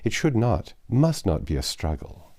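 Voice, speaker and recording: A man's voice: fairly low pitch, very animated, a little slow, warm tone, heavily acted. A man voicing an ardent, emotional young woman who speaks earnestly and fervently.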